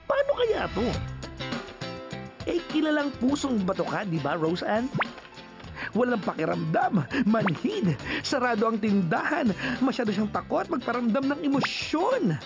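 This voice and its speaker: A woman's voice: fairly high pitch, sing-song, a little slow, music in the background. A woman playing a teasing, gossipy narrator in a comedy film, telling it dramatically.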